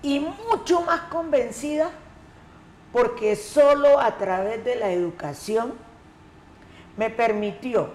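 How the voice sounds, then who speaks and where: Slow, deliberate Colombian woman. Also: on the high side, lively intonation, darkish tone, slight background noise. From Colombian Pacific coast. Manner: measured, emphatic, repeats key words. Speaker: ethnic education coordinator. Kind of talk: interview answers. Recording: echoey room, faint ambience.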